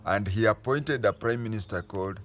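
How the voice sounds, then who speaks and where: A man with a Kenyan accent talks through history like a patient storyteller. His voice sits fairly low. He pauses often, restarting phrases to set the record straight as he goes. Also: a bit bright, a little hiss or hum. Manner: unhurried storytelling, stopping to restate and correct himself. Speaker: history explainer. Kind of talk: casual spoken history lesson.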